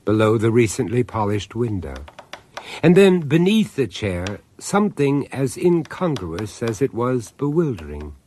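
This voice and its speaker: A man narrating a radio horror drama as an urbane storyteller, deliberate and theatrical, pausing to savour each phrase. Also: fairly low pitch, darkish tone, a little hiss or hum.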